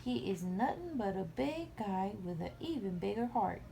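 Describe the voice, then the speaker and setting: A woman's voice: mid-range voice, sing-song, moderate pace, gravelly, dark tone, slight background noise. A woman voicing a good-natured young prince in a storybook, loyal and reassuring. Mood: warm reassurance.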